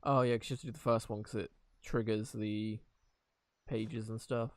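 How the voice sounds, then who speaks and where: A man's voice: on the low side, level tone, moderate pace, no room sound. A man doing casual live gameplay commentary as a streamer, with dry joking asides. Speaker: game streamer. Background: game music.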